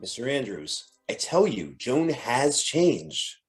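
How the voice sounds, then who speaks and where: An actor playing a distraught, earnest young man, agitated and halting, in old-time radio drama style. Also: medium pitch, sing-song, faint music behind. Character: distraught earnest young man in love, defending his sweetheart.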